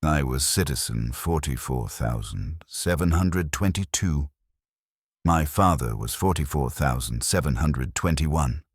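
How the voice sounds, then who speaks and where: Very deep, slow, solemn male narrator. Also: no echo, studio quality. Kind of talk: scripted first-person sci-fi war story narration.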